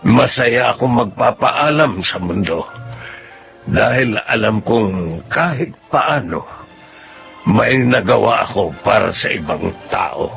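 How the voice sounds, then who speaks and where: An actor playing a kindly, devout elderly grandfather with a Filipino accent and a gravelly voice, in radio drama style. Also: fairly low pitch, lively intonation, slow pace, a bit bright, soft background music, heavily acted. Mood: reflective, contented. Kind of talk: Filipino radio drama acting and first-person narration.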